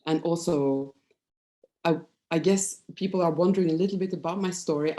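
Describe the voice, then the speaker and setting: A woman's voice: sits low, dark tone. A woman in her fifties with a Norwegian accent, giving an informal, personal presentation in a hesitant, candid manner. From Norway. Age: 50s.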